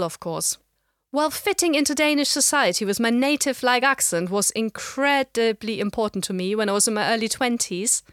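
A middle-aged woman with a slight German accent, giving a measured, explanatory lecture. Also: on the high side, fairly bright tone, no room sound, clean studio sound. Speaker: language educator. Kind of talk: scripted lecture narration.

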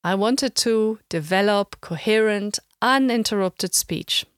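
A woman whose first language is German, calmly explaining language levels in a reflective podcast monologue and slowing down to spell out terms. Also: mid-range voice, clean tone, no room sound, clean studio sound. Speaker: language learner.

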